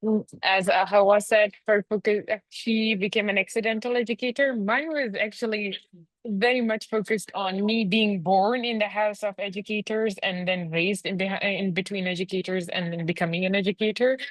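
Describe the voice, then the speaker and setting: A young woman from Afghanistan, an activist speaking on a panel video call, her voice muffled by a mask, candid and lively. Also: mid-range voice, moderate pace, no echo. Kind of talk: virtual panel talk.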